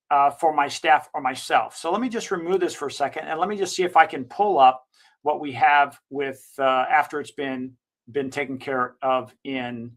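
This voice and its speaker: A man who owns a digital marketing agency, giving an enthusiastic, rambling software walkthrough and correcting himself as he goes. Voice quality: slightly higher-pitched voice. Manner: enthusiastic, recommending. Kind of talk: casual screen-share software walkthrough.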